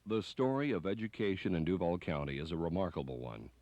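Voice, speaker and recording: Deep-voiced male documentary narrator. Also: sing-song, fast, a little mellow, a little hiss or hum. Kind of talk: scripted narration.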